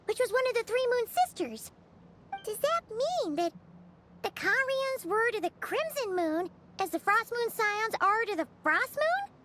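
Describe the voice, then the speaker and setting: A little floating fairy girl for a video game. Her childlike, squeaky voice sits extremely high and bounces around in pitch. She is curious and exclamatory, captured with some noise behind her. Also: big pitch swings, unhurried, exaggerated voice. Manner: exclamatory, refers to herself by name.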